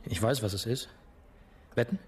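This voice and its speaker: An actor playing a guarded, quietly defiant monastery novice in a radio play, husky and terse in his questions. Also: medium pitch, normal speed, slight background noise, close to an everyday voice. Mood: guarded, probing.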